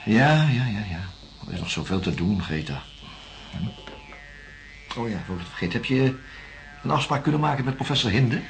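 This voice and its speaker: An actor playing a patient, cautious, kindly doctor, speaking in a measured, slightly hesitant way in a radio drama. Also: male voice, mid-range voice, lively intonation, vocal fry, music in the background. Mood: busy, musing. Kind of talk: naturalistic radio drama dialogue.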